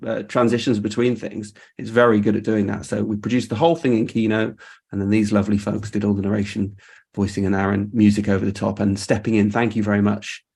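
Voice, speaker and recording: A man's voice: fairly low pitch, creaky, husky. A man hosting a casual live-stream chat in a chatty, appreciative way. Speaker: host of a marketing show.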